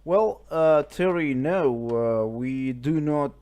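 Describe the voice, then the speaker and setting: A man's voice: a little high, slow, warm tone. A man from Russia with a Russian accent, chatting over amateur radio in hesitant English as he searches for words.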